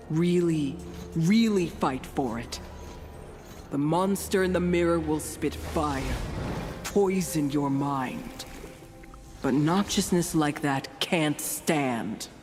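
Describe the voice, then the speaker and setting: A dramatic mystic woman from a fantasy video game, speaking slowly and portentously with a wistful, playful streak. Her theatrical narration drifts between mystery and chatty asides, with music playing clearly behind her. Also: sits low, a little mellow.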